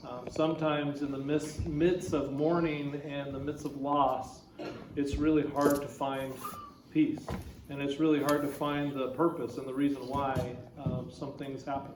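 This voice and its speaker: Brief: male pastor leading a memorial, plain-spoken, mic far off in an echoey, noisy hall. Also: mid-range voice. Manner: plain-spoken, many fillers. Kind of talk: memorial service eulogy.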